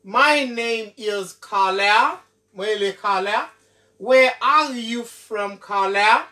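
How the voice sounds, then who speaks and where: A young man teaching at a whiteboard, drilling each phrase slowly and checking in with "ok?" after nearly every line. His voice is bright and forceful. It is picked up from a few feet away by a camera mic in a small room. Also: high voice. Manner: slow, repeats each phrase, often asking "ok?". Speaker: English teacher. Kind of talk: classroom lesson. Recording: voice from far off the mic.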